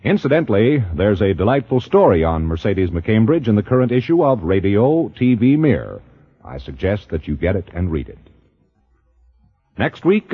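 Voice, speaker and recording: A man's voice: fairly low pitch, breathy edge, lo-fi sound. A man announcing in scripted 1950s radio style, with formal, emphatic delivery on a vintage broadcast.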